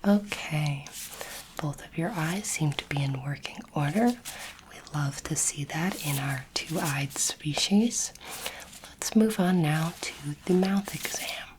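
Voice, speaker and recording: A woman whispering breathily in an ASMR roleplay as a courteous, curious alien doctor, gentle, reassuring and measured. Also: deep voice. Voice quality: breathy, mostly unvoiced whisper. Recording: noisy close recording, clicking sounds.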